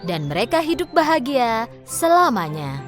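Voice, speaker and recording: A woman's voice: on the high side, sing-song, moderate pace, over loud music. A woman narrating a scripted children's bedtime story.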